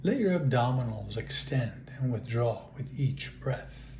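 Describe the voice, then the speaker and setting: A man leading a guided relaxation meditation, speaking in calm, soothing, measured phrases with long pauses. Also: mid-range voice, muffled recording. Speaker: guided imagery and relaxation instructor. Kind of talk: guided meditation, slow spoken instructions. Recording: roomy echo, faint background noise.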